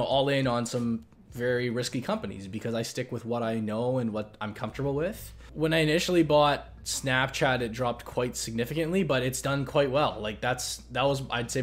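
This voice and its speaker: A man hosting a casual question-and-answer chat on investing, talking in a relaxed, rambling way with many fillers and restarts. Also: mid-range voice. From Canada. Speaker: investing channel host.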